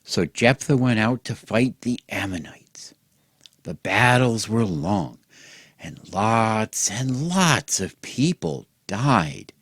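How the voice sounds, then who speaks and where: A man hosting and telling a mock children's bedtime story in an exaggerated gentle, sing-song way, as if speaking to small children. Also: on the low side, lively intonation, slow, slightly rough, no room sound, studio quality. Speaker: host and storyteller.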